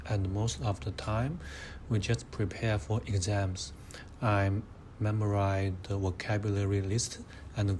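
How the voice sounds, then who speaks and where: An eighteen-year-old man from China with a Mandarin accent, answering interview questions in a measured, hesitant way. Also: fairly low pitch, darkish tone, slight background noise. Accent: Mandarin Chinese. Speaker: interviewee, high school student.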